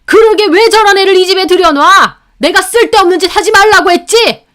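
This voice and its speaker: A woman's voice: very high voice, fairly quick, bright, forceful tone, voice pushed up. A woman voicing an older father-in-law who scolds in a bellowing way. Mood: furious. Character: enraged older father-in-law, stern patriarch.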